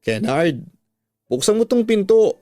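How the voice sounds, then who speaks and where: A man's voice: sits high, sing-song, a little slow, warm tone, dry recording, clean studio sound, faint music behind, voice pushed up. A man voicing a tearful, angry young woman confronting her cheating boyfriend in a radio drama. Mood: angry shouting. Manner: accusing, wounded.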